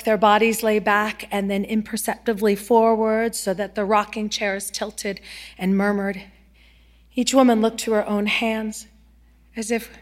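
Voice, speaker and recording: A female actor narrates a literary short story. Her voice is fairly high, and she reads the prose as a storyteller.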